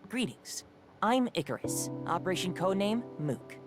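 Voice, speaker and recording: A woman playing a calm, polite young male squad leader in a video game, speaking formally and with assurance. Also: mid-range voice, normal speed, lightly acted. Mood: polite, assured. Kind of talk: scripted video game dialogue. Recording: game music behind the voice.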